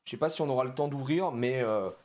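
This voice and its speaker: A man's voice: mid-range voice, fast, slight background noise. A man chatting casually on a live stream as he opens trading card packs, joking around and laughing often. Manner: animated and jokey, laughs often, plays on words.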